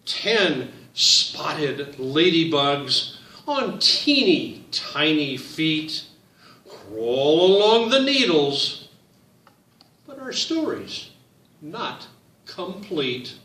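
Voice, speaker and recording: A man reading his picture book aloud to children, in a slow, sing-song storytime voice that speaks to them directly. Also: on the high side, very animated, sharp tone, reverberant, far from the mic.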